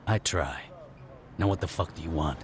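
An actor playing a brash, ruthless gang boss in a video game, gruff, sardonic and profane. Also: male voice, very deep voice, normal speed, very noisy. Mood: menacing. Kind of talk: video game cutscene acting.

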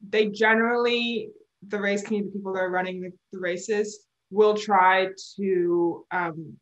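A woman's voice: mid-range voice, steady tone, measured pace, highs cut off. A young woman from Barbados, a sailor, chatting as a guest in a remote video interview, explaining with gestures and laughing often. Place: indoor room at home. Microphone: video call. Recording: roomy video call audio.

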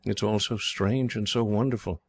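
A man voicing a frightened young English girl who was raised as a slave, speaking timidly and haltingly. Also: fairly low pitch, steady tone, pitched above the performer's own voice.

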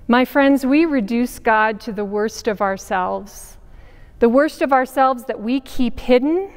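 A woman pastor in her forties gives a sermon in a big sanctuary, her voice smooth and a little high. She speaks slowly and deliberately, leaning on pauses for weight. The sound carries a long church reverb. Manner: measured, deliberate preaching with emphatic pauses. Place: large church sanctuary with pipe organ. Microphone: camera at a distance, wide sanctuary shot.

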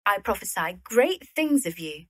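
Woman acting poised young lady, slightly husky. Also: mid-range voice, very animated, normal speed, no room sound, studio quality. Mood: grateful, earnest. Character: Ethelberta, poised, witty young widowed lady poet. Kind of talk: period radio drama acting.

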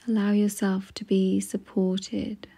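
Soft, breathy woman guiding meditation very slowly. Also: mid-range voice, level tone. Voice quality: soft, breathy, often near a whisper. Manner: gentle, soothing, unhurried with long pauses. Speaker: guided meditation narrator. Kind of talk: slow guided meditation narration.

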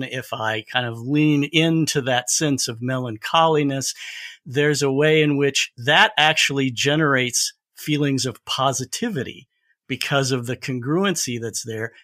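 A man with a mid-pitched, bright voice talks as an expert guest on a podcast. He explains in a thoughtful, conversational way. The sound is dry, with no room around it. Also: no room sound.

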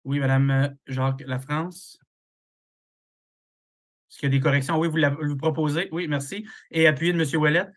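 Even-toned man chairing a video meeting. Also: on the high side. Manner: procedural, halting with many fillers. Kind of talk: chairing a formal online meeting. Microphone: video call.

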